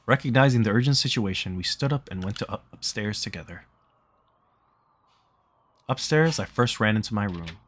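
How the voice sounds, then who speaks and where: A man with a fairly low voice narrates a visual novel. He reads the text aloud in a casual way, as a game streamer does. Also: expressive, moderate pace, pauses often, fairly bright tone.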